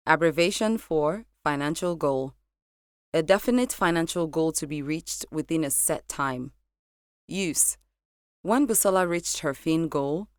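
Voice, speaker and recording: A young woman with a Nigerian accent narrating a scripted personal story in a lively, animated way. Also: sits low, no echo, clean studio sound. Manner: lively, animated delivery with light laughs. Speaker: podcast narrator.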